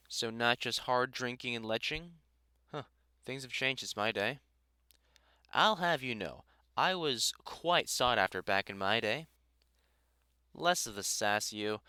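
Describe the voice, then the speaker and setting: A man playing a gruff older vampire hunter in a roleplay audio drama, a fond, teasing mentor with dry sarcasm who grows halting when sentimental. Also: mid-range voice, bright, forceful tone, no echo. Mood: dry banter.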